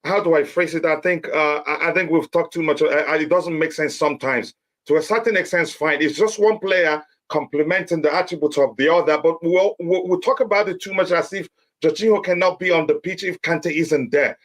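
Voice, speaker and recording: A man's voice: a little high, a little fast, fairly bright tone. A man hosting a live fan debate, hesitant and stammering, losing his voice. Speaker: host.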